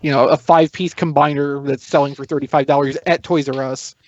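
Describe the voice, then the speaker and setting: A man's voice: a little high, fairly even intonation, fairly quick, no room sound, dull audio. A man chatting casually as a podcast panelist, explaining and thinking aloud as he goes. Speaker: toy news podcast panelist.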